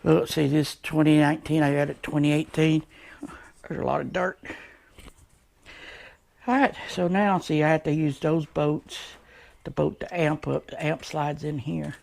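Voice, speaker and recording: A man's voice: fairly high pitch, steady tone, measured pace, gravelly, long pauses, a little mellow. An American man chatting casually through a DIY job in a folksy, rambling way, muttering to himself as he works. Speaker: DIY motorcycle vlogger. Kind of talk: casual unscripted how-to vlog chat. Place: shed workshop. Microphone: action camera. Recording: noisy action-camera audio with clicks.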